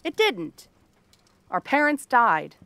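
Casting: female actor as cursed princess turned frog girl, cranky and theatrical, sharp-tongued but secretly kind, ASMR fantasy roleplay. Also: fairly high pitch, wildly swooping pitch, takes it slowly, no echo, full character voice. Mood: bitter, scornful. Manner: haughty, sardonic, theatrical tsundere delivery.

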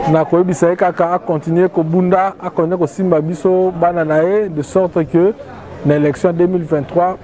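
Congolese guy giving a statement outside, highish voice, loud crowd around. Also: poor audio. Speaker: local party coordinator. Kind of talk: interview statement to camera. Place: open-air covered yard at rally. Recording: outdoor gathering with loud crowd.

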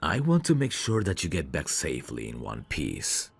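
Man playing very deep, gravelly suave mafia heir. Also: huge pitch swings, moderate pace, heavily acted. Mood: gentle, flirtatious. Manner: smooth-talking, courtly, flirtatious. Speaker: voice actor. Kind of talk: ASMR roleplay, intimate one-sided dialogue.

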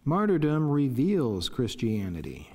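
A middle-aged friar reading a devotional reflection in a solemn, measured, formal way from a chapel lectern. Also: male voice, medium pitch, huge pitch swings, warm tone, faint music behind. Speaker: friar preaching. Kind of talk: read devotional reflection and prayer. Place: wood-panelled chapel indoors, at a lectern. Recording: long echoing chapel reverb.

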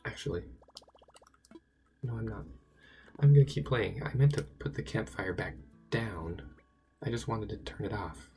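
A man with a hoarse, sore-throated voice giving casual, chatty commentary while playing a video game, talking to himself and to the game. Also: on the low side, animated, a little slow, long pauses, warm tone, soft background music. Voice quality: gravelly, raspy. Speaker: let's play gamer.

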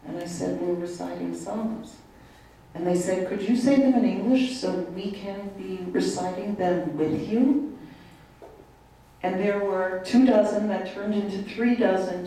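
A woman's voice: medium pitch, dark tone. An older woman on a panel, telling stories earnestly and mixing Hebrew terms into her talk. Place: large hall with long table. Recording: echoey hall, distant mic.

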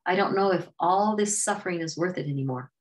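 A woman's voice: lowish voice, brisk, pitched above the performer's own voice, close to an everyday voice. A woman voicing an exhausted, grieving young man on a hard journey, speaking in a weary, frustrated way. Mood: anguished.

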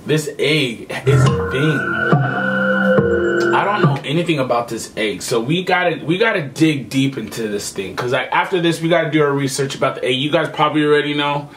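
A man's voice: a little high, a little fast, music in the background. A young man giving casual reaction commentary as a video host, emphatic and repeating his phrases. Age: young adult. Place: small home room with computer setup.

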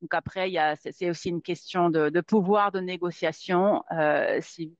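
Brief: female lawyer presenting a webinar, halting and filler-heavy, dry muffled laptop-mic sound. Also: on the low side, fairly even intonation, no echo. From Netherlands. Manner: many fillers and repeated words. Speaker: employment lawyer giving a webinar. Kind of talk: hesitant spoken presentation. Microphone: webcam or laptop mic on a video call. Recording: dull, dry lo-fi webinar audio.

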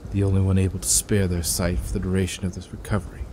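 Man playing low, stoic elder brother. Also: fast, slight background noise, natural voice. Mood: stoic, somber. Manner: flat, formal. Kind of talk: audio drama acting. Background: loud plucked guitar music.

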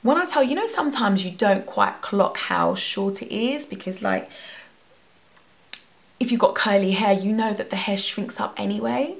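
A young woman tells a lively, expressive story straight into the camera from her bedroom. Her voice carries a gravelly edge. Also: mid-range voice, moderate pace. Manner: animated, expressive, gesturing a lot. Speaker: hair vlogger. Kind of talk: storytime vlog. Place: bedroom at home. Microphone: camera at face distance.

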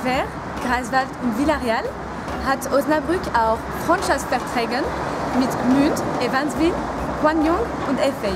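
A woman in her twenties from France, presenting clearly and measuredly outdoors in an old town. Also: high-pitched, measured pace, loud music behind the voice, rough recording. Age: 20s. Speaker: city ambassador.

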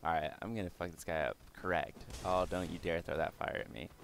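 A young man with a slightly raspy, hoarse voice giving casual let's-play commentary, swearing and mocking himself as he plays. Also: low voice, fairly even intonation, a little fast, slight background noise. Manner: swears and exclaims at the game, self-mocking. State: hoarse from yelling. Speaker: gaming channel host.

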